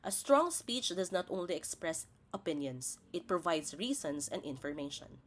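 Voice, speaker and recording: A woman teacher narrates scripted instructional material. Her voice sits a little low for a woman and moves with very animated intonation. She sets out each point in a deliberate, didactic manner. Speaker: teacher presenting a lesson.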